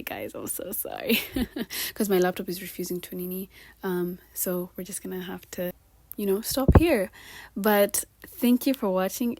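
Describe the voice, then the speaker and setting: A woman's voice: on the low side, no echo. A young woman with a Kenyan-tinged American accent, chatting casually to camera and acting out the voices of people she quotes. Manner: animated, acts out quoted voices and laughs often. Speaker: vlogger.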